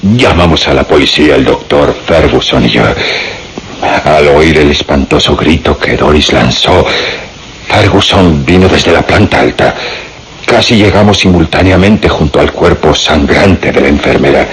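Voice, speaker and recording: Nervous, very deep-voiced doctor. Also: male voice, a little hiss or hum. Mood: nervous, hesitant. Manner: halting, stammering, agitated. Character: nervous, hesitant male doctor, anxious witness to murder. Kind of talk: radio drama acting.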